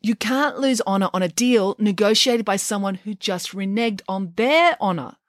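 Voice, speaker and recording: A woman voicing a clever, practical young female sidekick who speaks briskly and sensibly, in a storybook reading. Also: mid-range voice, animated, dry recording, studio quality, natural voice. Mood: exasperated. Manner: brisk, sensible, a little exasperated.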